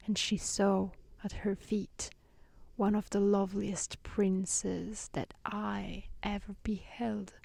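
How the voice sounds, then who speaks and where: Slow, breathy woman reading softly. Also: medium pitch, dry recording. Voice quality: breathy, often near a whisper. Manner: gentle, slow, soothing. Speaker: storyteller reading a fairy tale aloud. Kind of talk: soft-spoken ASMR storybook reading.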